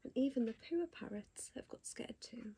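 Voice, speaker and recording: A woman reading a bedtime picture book to a child in a soft whisper, gasping and asking the child questions. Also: fairly high pitch, animated, normal speed, a little hiss or hum. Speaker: bedtime story reader.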